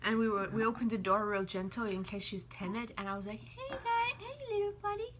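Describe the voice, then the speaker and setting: A woman from Finland co-hosting a vlog in casual chat, telling small stories in an animated way. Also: a little high, fairly quick. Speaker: vlog co-host.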